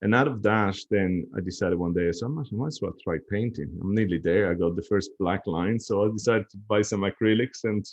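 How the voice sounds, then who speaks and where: Deep-ish guy on a podcast, laid back and thoughtful, bit muffled lo-fi sound. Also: fairly quick, few pauses. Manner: reflective, easygoing storytelling. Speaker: podcast guest. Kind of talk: casual chat.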